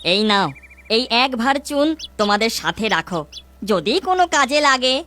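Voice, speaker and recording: A woman voicing a poor, kindly village lime seller in a children's folktale cartoon, gentle and apologetic. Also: fairly high pitch, animated, normal speed, a little hiss or hum.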